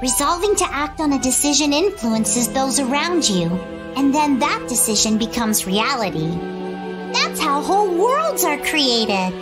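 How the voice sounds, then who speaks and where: A woman's voice: high-pitched, very animated, unhurried. A woman voicing a precocious little girl in a video game, with solemn, quaint, old-fashioned airs. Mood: precocious, composed. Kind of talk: video game cutscene acting. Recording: loud music behind.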